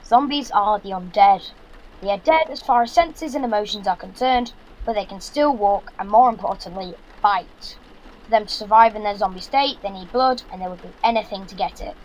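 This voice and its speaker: A man's voice: high-pitched, moderate pace, natural voice, poor audio. A young man playing a sarcastic, exasperated fifteen-year-old son in an audio drama, with a Yorkshire accent and blunt delivery. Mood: lecturing. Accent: Yorkshire English. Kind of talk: naturalistic audio drama acting.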